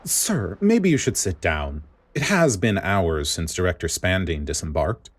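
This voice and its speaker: A man's voice: low-pitched, big pitch swings, a little slow, exaggerated voice. A man voicing a deferential, anxious ship's captain in a story, speaking hesitantly and respectfully to a superior. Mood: cautious concern. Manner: hesitant, respectful, snapping to attention.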